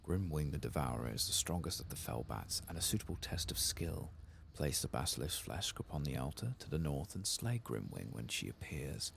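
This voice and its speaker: Man softly reading as a very deep solemn demon hunter. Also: big pitch swings, normal speed, gravelly, few pauses, a little hiss or hum, full character voice. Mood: solemn, vengeful. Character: solemn, commanding fantasy demon hunter reading quest dialogue. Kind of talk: soft-spoken dramatic reading of game text.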